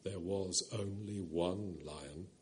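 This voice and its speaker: A man reading a storybook voicing a vast, ancient lion that speaks deep, low and slow with calm authority. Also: a little hiss or hum. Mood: solemn. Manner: grave, unhurried, gentle authority.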